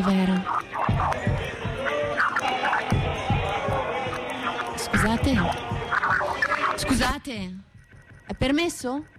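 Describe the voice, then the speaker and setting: Radio drama lead: proud rebel poetess, mid-range voice, intense, declamatory, dramatic swells and hushes. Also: female voice, medium pitch. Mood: defiant. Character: proud, passionate, rebellious Russian woman poet. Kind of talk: theatrical poetic monologue.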